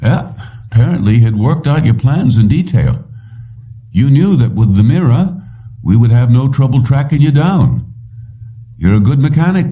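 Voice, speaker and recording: A man's voice: mid-range voice, pitched above the performer's own voice, natural voice. A man voicing an older male company boss in a story, strained and wary, on a dull recording. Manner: tense, pressed delivery. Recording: muffled, distant-sounding dull recording.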